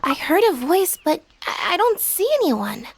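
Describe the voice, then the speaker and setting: A woman's voice: high voice, big pitch swings, normal speed, slight background noise. A woman voicing a shy young girl cookie in a cartoon game, hesitant and stammering. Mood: nervous.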